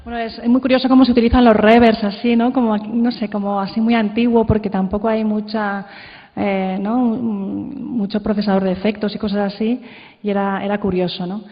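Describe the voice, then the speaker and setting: A woman's voice: mid-range voice, little pitch movement, brisk. Woman presenting in a reverberant hall. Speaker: conference presenter. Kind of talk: informal talk presenting audio clips. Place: conference hall. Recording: echoey hall, muffled sound.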